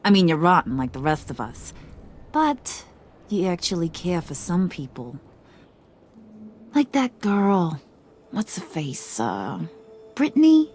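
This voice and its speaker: A woman's voice: lowish voice, sing-song, takes it slowly, long pauses. An actress playing a cocky, volatile female gang boss in a video game, American, teasing and slangy, slipping from singsong to sinister. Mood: sly, threatening. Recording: loud game music underneath.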